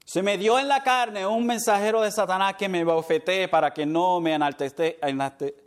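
A man's voice: high-pitched, normal speed. A man preaching a sermon as pastor, slipping in English words and laughing at his own stumbles.

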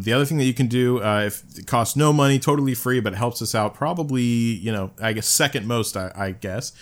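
A man hosting a podcast, chatting casually, rambling with lots of fillers and laughing often. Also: lowish voice. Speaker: podcast host.

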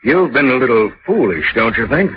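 Casting: man as shrewd radio-play inspector, mid-pitched, dry and probing, muffled vintage recording. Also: very animated, a little fast, background noise, natural voice. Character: shrewd, sardonic male police inspector. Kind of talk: 1940s radio acting.

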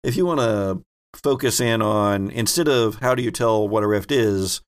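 A man's voice: fairly low pitch, normal speed. A man speaking casually as game master, guiding players through their choices in a tabletop game.

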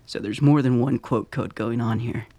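A man playing a shrewd leader in a radio drama, with a brisk, matter-of-fact manner. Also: medium pitch, fairly even intonation, dark tone, dry recording, natural voice. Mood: commanding. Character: shrewd male leader analysing intelligence.

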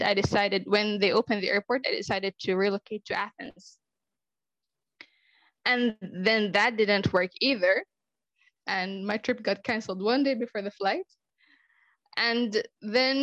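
A woman's voice: mid-range voice, fairly bright tone, no echo, highs cut off. Young woman explaining, dry close sound. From Israel. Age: young adult. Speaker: interview guest. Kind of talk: conversational interview.

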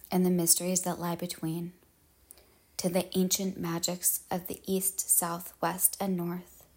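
Slow, husky woman guiding meditation. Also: on the low side, a little mellow. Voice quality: husky with fry, trailing into breathiness. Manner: measured, solemn incantatory phrasing with pauses. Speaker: meditation guide.

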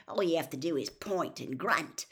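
A woman acts out a teenage wizard boy in a storybook reading. He is cheeky and brash, bold and flippant in delivery. The melody is lively and varied. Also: high voice, measured pace, pitched above the performer's own voice. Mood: dismissive. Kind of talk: audiobook character voice.

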